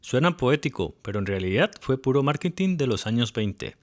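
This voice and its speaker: A man's voice: mid-range voice, very animated, moderate pace. A man reading a polished, lightly witty scripted voice-over for a travel video. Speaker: narrator.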